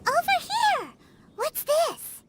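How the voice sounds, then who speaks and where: A woman voicing a tiny, curious, excitable childlike fairy companion in a video game, with a squeaky voice. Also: slow pace, background noise, heavily acted. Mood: puzzled, curious. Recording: loud music under the voice.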